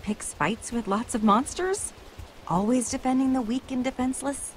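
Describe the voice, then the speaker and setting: A woman's voice: sits high, normal speed, slight background noise, lightly acted. A woman playing a self-assured, needling adventurer in a video game, dry and sardonic with awkward asides. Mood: probing.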